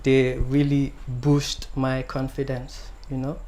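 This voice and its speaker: A young man from the Gambia answers questions in a low-key, hesitant way, pausing often to gather his thoughts. His pitch stays flat and even and rarely rises. A faint ambient hum sits behind his voice. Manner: soft-spoken, hesitant with many ums. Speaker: guest singer-songwriter. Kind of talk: casual interview answers.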